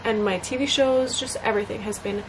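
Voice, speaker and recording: A woman's voice: mid-range voice, fairly even intonation, a little fast. Young female vlogger, chatty and upbeat, on a camera in her bedroom, noticeable background noise. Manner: bubbly, chatty, many fillers. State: congested. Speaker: vlogger and college student. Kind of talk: casual vlog chat to camera. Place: small bedroom indoors. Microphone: camera at arm's length.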